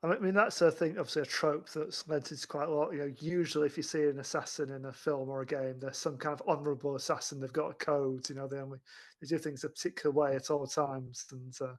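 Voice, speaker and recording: A man's voice: fairly high pitch, fairly even intonation, quick pace. A man co-hosting a podcast, chatting casually and conversationally about films. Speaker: podcast co-host. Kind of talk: casual film discussion.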